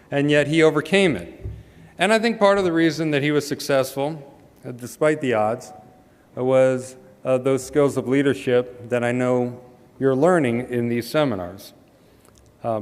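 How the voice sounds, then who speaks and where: A man's voice: mid-range voice, long reverb tail. A man introducing the main speaker at an event, with dry humor and frequent hesitant fillers. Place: large hall.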